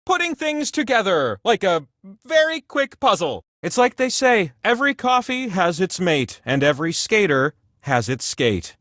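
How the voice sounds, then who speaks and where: A man's voice: high voice, big pitch swings, moderate pace, a bit bright, no echo, clean studio sound. A man voicing a sassy, giddy giant talking wheel who hosts a trivia game show with campy, theatrical exuberance and chatty asides. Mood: whimsical, chatty.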